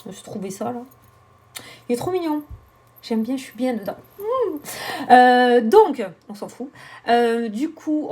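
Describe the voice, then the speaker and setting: A woman, chatting animatedly to camera as a tarot reader, swearing and joking about herself. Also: on the high side. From southern France. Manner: animated, swearing, laughing, self-mocking. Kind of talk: casual chatty vlog.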